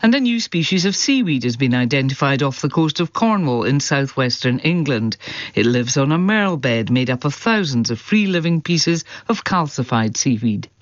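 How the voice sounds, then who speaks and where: A man's voice: medium pitch, lively intonation, moderate pace, no room sound. A man reading a scripted news bulletin in a radio studio. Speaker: newsreader. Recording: slightly dull, band-limited broadcast audio.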